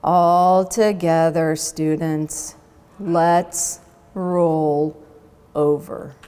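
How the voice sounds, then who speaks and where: Woman voicing a slow, drowsy male sloth teacher. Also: deep voice, fairly even intonation, warm tone, clean tone, long reverb tail, pitched below the performer's own voice. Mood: drowsy, lazy. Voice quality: drawling. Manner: very slow, sleepy delivery.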